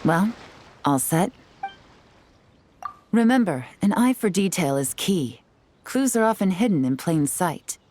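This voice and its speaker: A woman's voice: fairly low pitch, expressive, a little slow, long pauses, darkish tone, a little hiss or hum. A woman playing a cool, shrewd, confident intelligence agent in a video game, speaking in an assured, instructive way.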